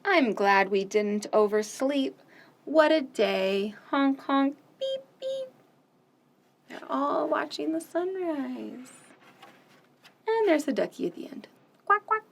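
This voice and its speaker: A woman hosting a children's storytime, reading picture books aloud and singing nursery songs in an animated, sing-song way with loud playful sound effects. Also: high-pitched, slow, creaky, long pauses.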